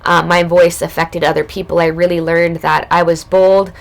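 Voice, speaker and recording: A woman recovering from illness, her voice hoarse and raspy, telling a personal spiritual story in a casual, loose way as a podcast host. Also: fairly low pitch, level tone, moderate pace. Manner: casual, loose, spiritual talk with many fillers.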